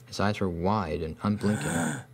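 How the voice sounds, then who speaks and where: A man reading a scripted first-person horror story in a deliberate, ominous manner. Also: on the low side, sing-song, creaky. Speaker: narrator. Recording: faint hum behind voice.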